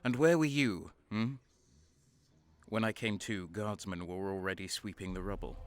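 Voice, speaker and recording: An actor playing a bitter, sardonic renegade soldier, narrating and speaking in a dark sci-fi audio drama with a brooding, cynical manner. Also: male voice, fairly low pitch, moderate pace, soft background music, natural voice. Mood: cynical, needling.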